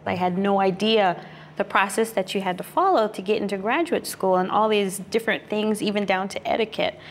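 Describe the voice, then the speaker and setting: A woman's voice: medium pitch, fairly even intonation, a little fast, slight background noise. A young woman from Arizona, a graduate student, chatting casually in a group interview with frequent fillers and restarts. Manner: chatty, lots of "you know" and restarts.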